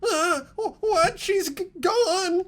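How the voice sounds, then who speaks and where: A woman's voice: very high-pitched, takes it slowly, pitched above the performer's own voice. A woman voicing a pompous, whiny, melodramatic geeky male student in an amateur game dub. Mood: whiny, accusing. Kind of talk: amateur fully voiced game playthrough.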